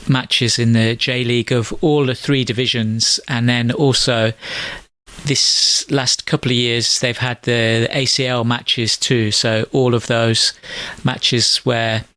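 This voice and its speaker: A man's voice: mid-range voice, little pitch movement, slow, sharp tone, no echo. A middle-aged man hosting a podcast over a call, chatting casually in a rambling, hesitant way with many fillers. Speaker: podcast host. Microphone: call audio.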